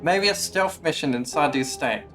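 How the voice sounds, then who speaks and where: A woman's voice: sits low, lively intonation, moderate pace, fairly bright tone. A woman game streamer giving casual live commentary in a blunt, skeptical, sweary way. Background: game music.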